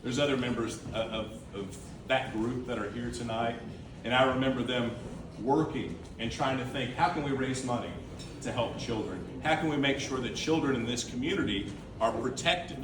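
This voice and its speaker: A man's voice: mid-range voice, moderate pace, noisy background. A man giving an earnest campaign speech to a crowd as the campaign treasurer. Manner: earnest, repeats key words for emphasis. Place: large indoor room with a crowd. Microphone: room microphone heard from a distance. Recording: echoey room recorded from far off.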